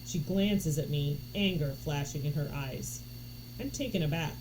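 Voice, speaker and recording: A woman reading a story aloud in an expressive narration style. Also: low-pitched, normal speed, rough recording. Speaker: audiobook reader. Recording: noticeable background noise.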